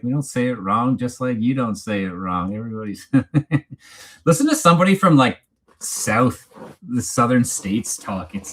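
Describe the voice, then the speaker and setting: Low-voiced Canadian man chatting casually. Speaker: livestream host. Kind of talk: casual unscripted chat, reading live comments.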